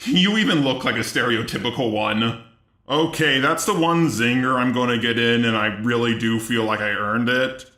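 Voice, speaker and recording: A man's voice: medium pitch, moderate pace, pushed and bright. A man delivering argumentative scripted commentary in a sarcastic, indignant manner. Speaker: commentary video host. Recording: roomy echo with music underneath. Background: music.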